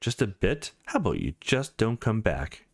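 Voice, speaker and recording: A man's voice: fairly low pitch, huge pitch swings, moderate pace, creaky, no echo, clean studio sound, full character voice. A man voicing a cruel father who taunts with sneering contempt in a visual novel.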